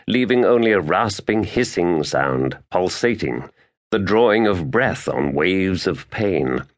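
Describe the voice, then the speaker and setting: Man, low-pitched voice, audiobook narration, grave, measured, dramatic fantasy storytelling. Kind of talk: scripted narration of epic fantasy.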